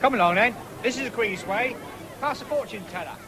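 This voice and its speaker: A man's voice: extremely high pitch, brisk, crowd noise. Easygoing young English countryman, noisy recording. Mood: teasing. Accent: rural English. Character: easygoing, free-spending young countryman courting at a fair. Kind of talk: 1970s radio drama acting. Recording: noisy old radio broadcast, fairground din.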